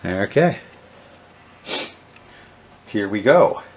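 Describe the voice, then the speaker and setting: A middle-aged man, talking casually and haltingly with many fillers as he shows off old electronics. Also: fairly low pitch, very animated, a little mellow, muffled recording, slight background noise. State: has a cold, sniffly. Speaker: vintage electronics video host. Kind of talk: casual show-and-tell narration.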